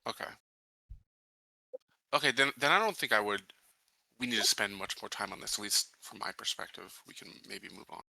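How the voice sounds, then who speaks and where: A man's voice: lowish voice, animated, moderate pace, vocal fry, long pauses, bright, forceful tone, dry recording, rough recording. A man in casual discussion as a tabletop roleplaying player, reasoning aloud and checking for agreement.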